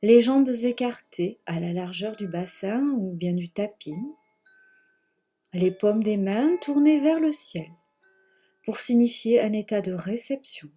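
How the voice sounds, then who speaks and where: A woman leading a guided yoga nidra relaxation in a gentle, measured manner, leaving long pauses and repeating phrases. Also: mid-range voice, soft background music. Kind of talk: guided meditation, slow spoken relaxation.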